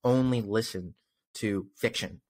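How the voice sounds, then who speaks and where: Male podcast host, 20s. Slightly low voice, enthusiastic, explanatory, conversational. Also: measured pace, slight background noise. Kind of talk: casual interview chat. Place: indoor home room. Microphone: close desk microphone on a video call.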